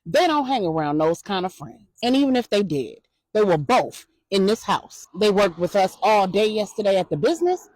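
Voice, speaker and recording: A woman's voice: medium pitch, big pitch swings, moderate pace, hardly any pauses, a little mellow, no echo, low-quality recording. A woman voicing an indignant, protective mother of teenage sons, firm, emphatic and defiant, in a story.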